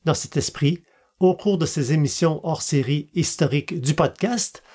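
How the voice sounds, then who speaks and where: A podcast host speaks. The voice sits at a middle pitch. The delivery is that of prepared, scripted narration, presenting material to listeners. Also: moderate pace, dark tone, slightly rough. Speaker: podcast host and amateur genealogist.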